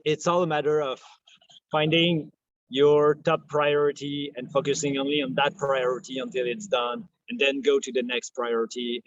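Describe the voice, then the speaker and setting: A man with a French Canadian accent, a podcast guest talking in a soft, hesitant way, full of restarts and fillers. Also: mid-range voice, no room sound, lo-fi sound. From Quebec, Canada. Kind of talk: casual interview chat.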